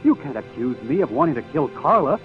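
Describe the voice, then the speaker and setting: An actor playing an earnest young suitor, urgent and defensive, in a 1950s radio drama. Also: male voice, medium pitch, big pitch swings, a little fast, faint music behind, natural voice. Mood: pleading. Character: earnest, agitated young suitor protecting the woman he loves. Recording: tinny, narrow vintage radio broadcast.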